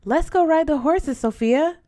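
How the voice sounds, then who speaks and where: A woman's voice: sits high, a little slow, mellow, no echo, studio quality, voice pushed up. Woman reading as an eager, pestering little girl.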